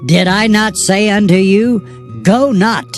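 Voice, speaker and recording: A man's voice: sits high, slow pace, soft background music, voice pushed up. A man voicing a devoted young prophet, earnest and pleading, drawing out his words.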